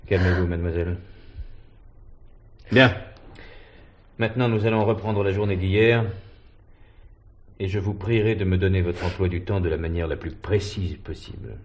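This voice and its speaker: Low-pitched male police commissioner, stern, methodical, clipped formal questioning, vintage French radio play. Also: normal speed, pauses often. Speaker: actor.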